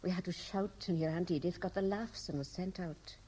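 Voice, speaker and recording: An actress playing a fond, brisk, scolding middle-aged Welsh mother in a 1960s radio drama, with a lilting, homely way of talking. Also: lowish voice, mellow, husky, rough recording. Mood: fond, chatty.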